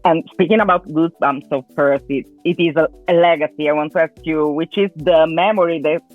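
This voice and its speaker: A woman's voice: sits low, fairly even intonation, a little fast. A woman asking questions as a press interviewer. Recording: thin phone-like sound, music behind.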